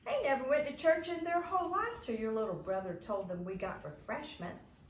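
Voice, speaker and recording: A woman's voice: high-pitched, normal speed, pitched above the performer's own voice, natural voice. A woman voicing a prissy, indignant know-it-all girl who grumbles in a storybook reading. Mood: indignant, disdainful. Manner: indignant, complaining. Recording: echoey room with faint background noise.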